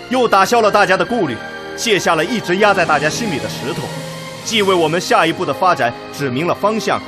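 An actor playing a decisive Red Army commander in a Chinese radio drama, chairing a war council in measured, rallying speeches. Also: male voice, sits high.

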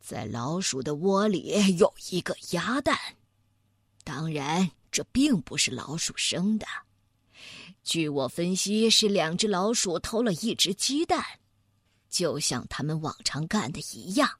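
A woman voicing a calm, methodical male bear scientist who explains things in an unhurried, deliberate way. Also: lowish voice, breathy edge, dry recording, studio quality, pitched below the performer's own voice, heavily acted. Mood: calm, assured.

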